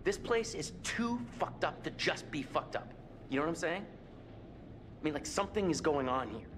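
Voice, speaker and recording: A man's voice: a little high, expressive, normal speed, background noise, natural voice. An actor playing a brash, uneasy young man who talks casually and in slang, in naturalistic game dialogue. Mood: urging. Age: young adult.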